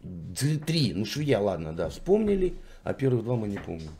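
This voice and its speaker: A man's voice: on the low side, sing-song, moderate pace, vocal fry, mellow, a little hiss or hum. A man in his forties interviewing a young woman on camera, teasing, condescending and sarcastic. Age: 40s. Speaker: street interviewer. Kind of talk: mocking street interview.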